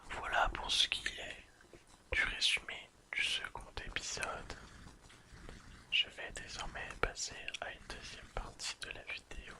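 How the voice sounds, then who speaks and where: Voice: sharp tone, rough recording. A person whispering an ASMR episode recap in a careful reading manner. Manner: careful reading, apologises and corrects slips. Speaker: ASMR video creator.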